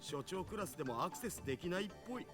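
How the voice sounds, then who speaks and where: A male actor voicing an excitable, cocky young geek hacker in a Japanese anime visual novel, slangy and playful. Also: high-pitched, rapid-fire, faint music behind. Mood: matter-of-fact. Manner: animated, slangy, whooping.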